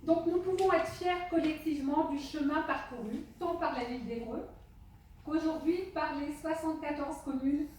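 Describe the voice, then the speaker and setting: High-voiced woman speaking in an echoey hall. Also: a little mellow. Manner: deliberately slow, halting delivery with many pauses. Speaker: local official opening and chairing a public meeting. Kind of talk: opening speech at a public meeting. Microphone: handheld portable microphone. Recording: echoey hall, distant microphone, faint noise.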